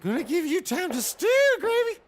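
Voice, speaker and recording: Menacing cannibal vagrant: extremely high, swooping, creepy comedy delivery with noise behind. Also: male voice, big pitch swings, normal speed. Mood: sly, menacing. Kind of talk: scripted audio comedy acting.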